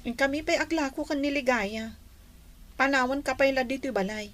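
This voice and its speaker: A woman's voice: high voice, normal speed, fairly bright tone. An actress with an Ilocano accent playing a troubled young woman in love in a radio drama. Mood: reluctant. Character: troubled young woman pining for her sweetheart.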